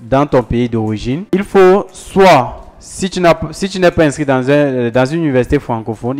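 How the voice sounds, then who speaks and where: A man's voice: mid-range voice, darkish tone. A man advising students on study procedures, speaking directly to viewers, repeating key points with emphasis. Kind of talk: explanatory talk to camera.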